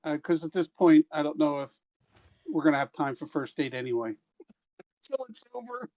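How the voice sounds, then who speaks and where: A man's voice: fairly high pitch, sing-song, moderate pace, a little mellow. A man playing in a tabletop game, chatting casually and thinking aloud about tactics. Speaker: player.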